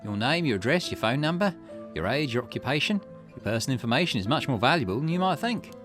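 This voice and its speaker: A man's voice: mid-range voice, sing-song, quick pace, fairly bright tone, pitched above the performer's own voice. A man voicing an eccentric, secretive private detective in a visual novel, lecturing pompously. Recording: music behind the voice.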